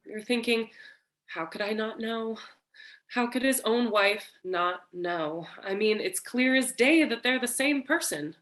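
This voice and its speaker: A woman playing a frightened, flirtatious femme fatale wife in a staged noir parody, with drawn-out melodramatic delivery. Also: a little high, a bit bright. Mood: earnest, urgent. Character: frightened, seductive femme fatale wife, breathy damsel in distress.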